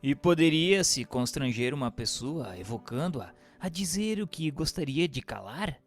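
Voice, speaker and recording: A man narrating and posing questions from a religious text in a formal, measured, didactic way. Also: on the high side, big pitch swings. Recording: hall-like reverb, music bed.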